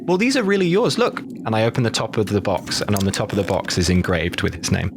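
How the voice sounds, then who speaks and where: A man's voice: lowish voice, lively intonation, quick pace, gravelly, background noise. A man playing a tabletop game, speaking earnestly in character with big upward swoops. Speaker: player. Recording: music bed under the voices.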